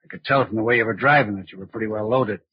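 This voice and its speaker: A cool American blackmailer with a very husky, insinuating voice. He is sardonic and quietly menacing, in 1940s radio style. The sound is dull and dry. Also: fairly low pitch, very fast, no room sound. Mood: pressing, menacing. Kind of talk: 1940s radio drama acting. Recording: muffled old radio recording.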